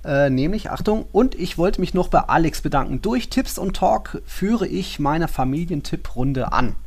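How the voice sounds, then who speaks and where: A man's voice: fairly high pitch, animated, normal speed, darkish tone. A man co-hosting a football podcast in casual conversation, laid-back and dryly joking.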